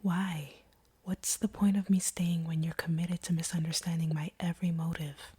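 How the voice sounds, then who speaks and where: Breathy, whispering vampire woman acted by a woman. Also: on the low side, flat delivery, moderate pace, dark tone. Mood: wounded, resentful. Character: conflicted female vampire confessing feelings to her hunter. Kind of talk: intimate scripted audio roleplay.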